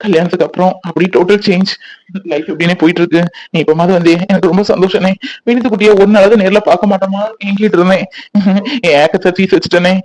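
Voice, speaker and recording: Guy doing a warm-hearted little brother in a family drama, high voice, talks fast and earnest. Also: fairly even intonation, close to an everyday voice, low-quality recording. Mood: fond. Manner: earnest, reassuring. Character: affectionate younger brother, young man. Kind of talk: web serial drama acting.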